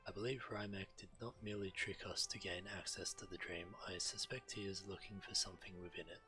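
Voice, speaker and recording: A man's voice: low-pitched, steady tone, fast talker, pushed and bright. An actor playing a grave, guilt-ridden male guardian of a sacred grove in a fantasy game, speaking formally and solemnly. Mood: grave, remorseful. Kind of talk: fantasy video game voice acting. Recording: music behind the voice.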